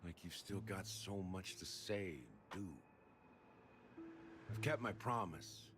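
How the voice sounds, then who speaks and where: An actor playing a dignified older man in a video game, speaking in a solemn, measured, formal way. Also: deep voice, noisy background. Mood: wistful, reverent. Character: dignified older man honouring a late friend. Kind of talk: scripted video game voice acting.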